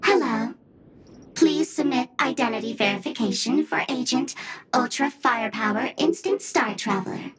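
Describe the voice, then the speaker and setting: A woman's voice: fairly high pitch, moderate pace, lightly acted. A woman voicing a small, playfully pompous mascot creature acting as a secret agent in a video game. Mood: mock-serious. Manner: clipped, officious. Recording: music behind.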